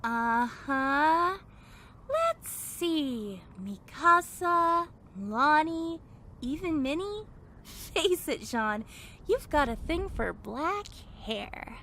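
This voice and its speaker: Super high pitched chatty teen girl woman acting, excitable n teasing, voice all over the place, audio drama. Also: drawn-out words, heavily acted. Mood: playful.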